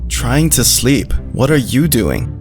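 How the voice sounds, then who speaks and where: A man as an eager, animated friendly dog. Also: mid-range voice, slow, soft background music. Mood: plaintive. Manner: playful, childlike wording. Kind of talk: first-person story narration and character acting.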